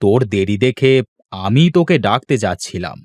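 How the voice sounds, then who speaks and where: Man doing a low-toned, bossy village landowner. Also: big pitch swings, moderate pace, darkish tone. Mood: instructing. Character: bossy, evasive village landowner, male employer. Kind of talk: Bengali moral-story cartoon voice acting.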